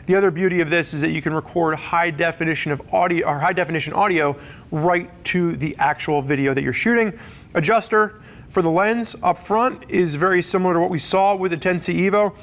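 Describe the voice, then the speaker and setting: High-pitched man presenting a product review. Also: a little fast, fairly bright tone. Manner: conversational, explanatory. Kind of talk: semi-scripted product review to camera.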